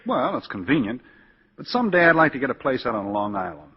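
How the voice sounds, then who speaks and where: An actor playing an easygoing, wry young lawyer in a 1940s radio drama, casual and chatty. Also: male voice, on the high side, very animated, fast, gravelly, slightly rough, heavily acted. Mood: wry, relaxed. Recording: muffled 1940s radio broadcast.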